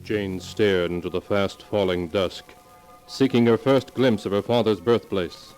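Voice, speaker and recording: A man's voice: on the low side, unhurried. A man giving ominous, dramatic scripted narration for a 1940s radio melodrama. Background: dramatic music.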